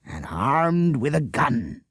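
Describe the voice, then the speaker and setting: A man's voice: mid-range voice, very animated, slow, fairly bright tone, breathy edge, no room sound, studio quality, voice pushed up, exaggerated voice. A man voicing a blustering, suspicious village policeman who talks sarcastically and keeps adding "eh?". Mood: sarcastic, suspicious. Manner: sarcastic, jeering, tacks on "eh?".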